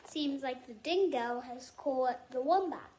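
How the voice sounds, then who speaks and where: A woman reading a picture book aloud in a halting, deliberate way, stopping to add personal asides. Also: high voice. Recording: echoey room with faint noise.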